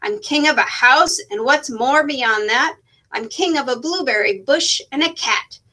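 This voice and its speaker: Woman voicing a high, pompous storybook turtle king. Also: unhurried, sharp tone, full character voice. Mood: gloating. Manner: pompous, exclaiming, commanding. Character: boastful, bossy, power-hungry male turtle king. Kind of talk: children's storybook read-aloud.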